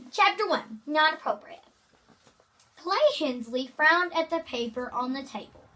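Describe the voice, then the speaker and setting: Amateur female read-aloud host, high and bright, unhurried, halting delivery with repeated words and informal asides. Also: expressive, rough recording. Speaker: amateur reader hosting a read-aloud video. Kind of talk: reading a children's novel aloud with casual asides.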